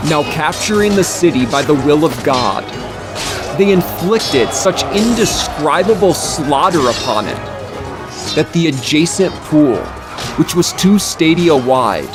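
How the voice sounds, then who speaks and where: Male narrator, slightly higher-pitched, for dramatized scripture: solemn, emphatic, very measured pace. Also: lively intonation, over loud music. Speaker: audiobook narrator.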